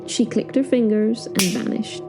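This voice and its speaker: A woman's voice: on the high side, measured pace, over music. A woman narrating a dramatic story in the first person, as part of an audio drama.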